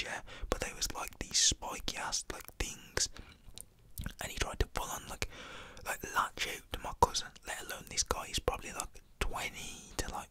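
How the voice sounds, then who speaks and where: A young man telling a personal story in a casual, slang-filled, fully whispered voice, full of fillers. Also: bright, forceful tone.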